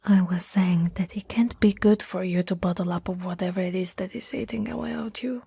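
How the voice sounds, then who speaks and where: A woman playing a tall, teasing, caring young childhood friend in an ASMR roleplay, with a soft, breathy, slightly husky voice and a gently coaxing manner. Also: mid-range voice, steady tone, no echo. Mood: coaxing, concerned. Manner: slow, playful, gently coaxing.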